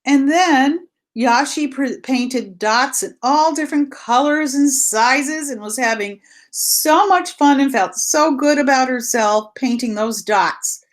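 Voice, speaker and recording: Teacher lady talking to little kids, really slow, highish voice, repeats stuff and asks questions. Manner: asks questions and answers them herself; simple, repetitive explaining to children. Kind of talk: read-aloud lesson for young children.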